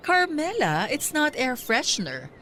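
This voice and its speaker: A woman's voice: high-pitched, sharp tone, slight background noise, heavily acted. Haughty older rich lady, wildly swooping. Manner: haughty, mixes English into her talk. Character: haughty wealthy older mistress, easily outraged. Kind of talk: radio drama acting.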